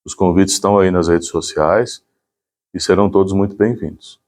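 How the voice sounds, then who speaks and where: A man's voice: sits low, steady tone, moderate pace, a little mellow. A man gives an informal explanation. He speaks as a volunteer presenting community work.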